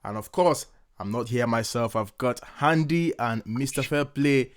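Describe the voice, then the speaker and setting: A man's voice: mid-range voice, measured pace, a little hiss or hum. A man hosting a casual sports podcast, upbeat and chatty with playful teasing. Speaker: podcast host.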